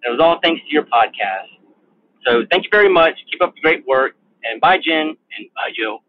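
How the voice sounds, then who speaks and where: A man's voice: a little high, lively intonation, moderate pace, no echo. Man on a tinny phone line. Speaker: caller. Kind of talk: phoned-in voicemail.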